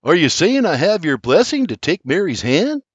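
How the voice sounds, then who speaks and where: An eager young Irishman, bashful and earnest in love, as a male narrator puts him on. The voice sits high and lively with a husky edge. The sound is close and dry. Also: moderate pace, no echo.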